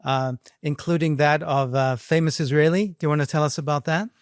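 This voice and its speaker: A man from South Africa interviewing a guest, asking brisk, steering questions. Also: on the high side, fairly even intonation, no echo, studio quality. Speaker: interviewer, news correspondent.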